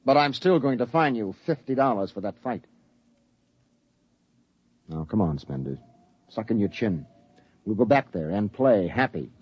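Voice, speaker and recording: An actor playing a level-headed, paternal space expedition captain, firm and placating, in 1950s radio drama style. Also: male voice, on the low side, sing-song, measured pace, long pauses, slightly rough, dry recording, exaggerated voice. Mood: reasoning, stern. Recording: dull, lo-fi 1950s radio recording.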